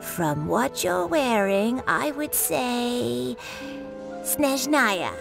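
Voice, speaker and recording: A woman playing a kindly old granny shopkeeper in a video game, patient and helpful, with a gentle, drawn-out delivery. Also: fairly high pitch, sing-song, vocal fry, music in the background, exaggerated voice. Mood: warm, playful. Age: older.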